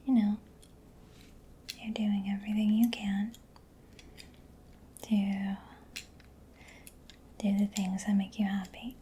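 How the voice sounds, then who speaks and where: A woman doing a soft-spoken ASMR roleplay, mostly whispering in a breathy, gentle, soothing murmur close to the microphone. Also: mid-range voice, level tone, takes it slowly, long pauses, slight background noise. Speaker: ASMR performer.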